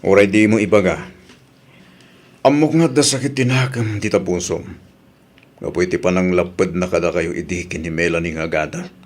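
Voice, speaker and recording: A man's voice: deep voice, normal speed, lightly acted. A man acting an earnest grown family man who reproves and pleads, in an Ilocano radio drama. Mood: gentle urging.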